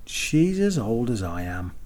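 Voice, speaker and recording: Storybook little man, done by a reader: courteous, excitable miniature king, quaint and very animated in pitch. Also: a little slow. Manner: polite, quaint, exclamatory. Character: tiny, courteous, excitable ancient king of baby angels. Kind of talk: children's storybook character voice.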